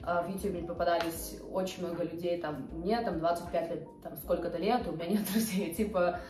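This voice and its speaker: A woman's voice: on the low side, fairly even intonation, a little fast. Chatty young woman vlogging on a couch. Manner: chatty, hesitant with many fillers, gestures with hands. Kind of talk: casual chat to camera. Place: bright living room, on a couch. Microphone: camera a few feet away. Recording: roomy sound with faint background music.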